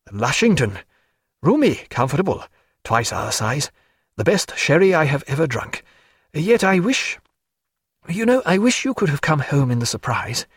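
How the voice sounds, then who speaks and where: A man's voice: a little high, sing-song, normal speed, husky, no echo, clean studio sound, voice pushed up. A man doing a wry, feverish surgeon. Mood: tender, wry.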